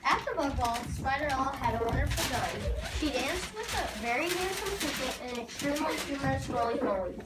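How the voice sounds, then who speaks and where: A woman's voice: high voice, big pitch swings, a little fast. A woman narrating a scripted storybook tale for a readers theater performance. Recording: noisy, echoey hall from far off.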